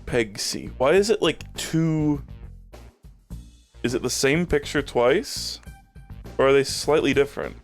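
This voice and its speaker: A man's voice: mid-range voice, lively intonation, unhurried, long pauses, faint music behind. A man streaming a game, rambling in casual chat with plenty of swearing and fillers, a bit phlegmy in the throat. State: a little phlegmy.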